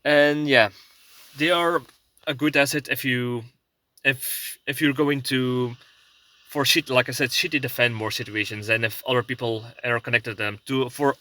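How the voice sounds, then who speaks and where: Bright-voiced, halting man with a Belgian accent. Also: medium pitch. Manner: halting, hesitant, searching for words. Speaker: prepper video blogger. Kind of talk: casual rambling vlog talk. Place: inside a parked car.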